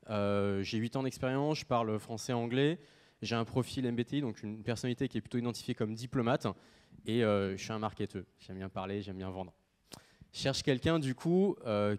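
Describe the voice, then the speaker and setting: A man's voice: medium pitch, a little mellow. A man in his thirties giving a live product demo on stage, joking casually and with lots of fillers. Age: 30s. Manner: jokey, casual, full of fillers. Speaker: startup pitch presenter.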